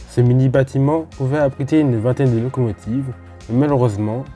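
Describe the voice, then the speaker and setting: A man's voice: mid-range voice, mellow. A man from France narrating a railway history video in a casual explanatory way, with informal asides to the viewer. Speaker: host of a railway history channel.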